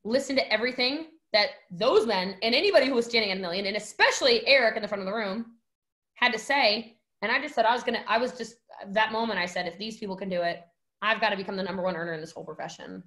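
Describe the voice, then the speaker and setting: A woman's voice: medium pitch, quick pace, fairly bright tone. A young woman telling a personal story in an emphatic, dramatic, slangy way, as a podcast guest on a video call from home. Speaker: podcast guest, network marketing entrepreneur.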